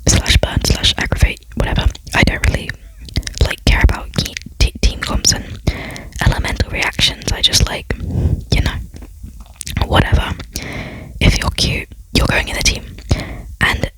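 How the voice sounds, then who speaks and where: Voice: measured pace, a bit bright, rough recording. Whispering young adult, casual ASMR-style ramble. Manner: whispering throughout, rambling and self-deprecating.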